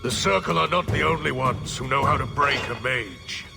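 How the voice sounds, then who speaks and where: A menacing video game villain. He is proud and disdainful, with a measured delivery in a gravelly, mid-pitched male voice. Loud music plays underneath. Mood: cold menace. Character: menacing, proud villain, seasoned mage-hunter.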